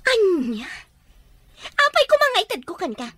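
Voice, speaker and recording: Very high-pitched, scheming woman. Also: wildly swooping pitch, unhurried, noisy background, exaggerated voice. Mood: taunting. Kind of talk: radio drama acting.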